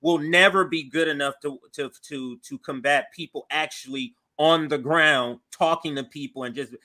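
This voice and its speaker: An American man co-hosting a casual political show, talking in a halting, repetitive, wryly amused way. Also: on the high side, bright, forceful tone. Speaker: co-host.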